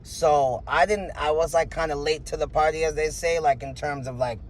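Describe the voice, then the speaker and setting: A man's voice: fairly high pitch, fairly even intonation, normal speed, a little hiss or hum. A man in his thirties with a froggy voice, talking frankly in an interview and rambling with many filler words. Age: 30s. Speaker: interview guest, rapper. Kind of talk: candid interview answers.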